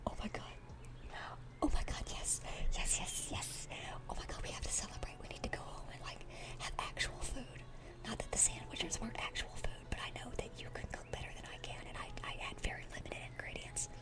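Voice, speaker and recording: A woman playing a dorky, lovestruck young demon in a whispered ASMR roleplay, speaking in a breathy, earnest, flustered way. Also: fairly quick, close to an everyday voice. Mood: excited, giddy. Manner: flustered, stammering, gushing, earnest.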